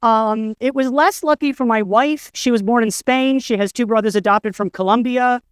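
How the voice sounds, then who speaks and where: A man's voice: very high voice, moderate pace, clear, resonant tone, no echo, clean studio sound. A middle-aged man giving a conference talk, telling stories with wry, self-deprecating humor. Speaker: conference speaker. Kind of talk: storytelling conference keynote. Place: conference stage, indoors.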